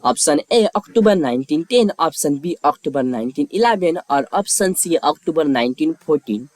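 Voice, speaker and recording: A man's voice: a little high, expressive, brisk, darkish tone, no room sound. A man reading scripted quiz questions and lettered answer options as a narrator.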